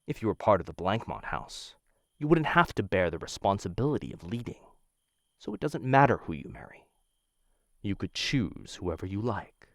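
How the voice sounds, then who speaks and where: A man plays a dangerous noble assassin courting his target in an intimate audio roleplay. The voice sits low and swings widely in pitch, with polished, measured phrasing and quiet menace. It is recorded very dry. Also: very animated, vocal fry, no room sound. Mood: smooth, coaxing. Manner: courtly, measured, softly menacing, addresses listener as my dear. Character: smooth, dangerous young noble assassin, possessive suitor. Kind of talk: boyfriend ASMR roleplay, intimate scripted acting.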